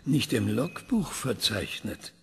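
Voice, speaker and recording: An actor playing an obsessive older male scientist who questions an AI in a calm, measured, probing tone, in a radio play. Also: medium pitch, husky, long reverb tail, heavily acted.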